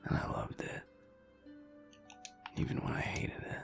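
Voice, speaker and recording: A man playing a sad, wistful young alpha werewolf in an intimate audio roleplay, talking haltingly in a low, rumbly half-whisper. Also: a bit bright, soft background music, close to an everyday voice. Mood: wistful, fond. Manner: halting, hesitant, trailing off.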